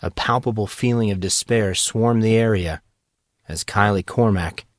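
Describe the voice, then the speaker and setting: A man narrating his own fantasy serial in a steady, measured storytelling manner. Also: fairly low pitch, no room sound. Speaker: author and narrator. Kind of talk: scripted fantasy audiobook narration.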